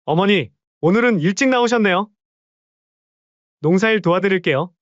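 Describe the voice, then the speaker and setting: A man's voice: high-pitched, lively intonation, normal speed, dry recording, highs cut off. A man voicing an awkward younger son who sweet-talks his mother with overly polite words. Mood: ingratiating.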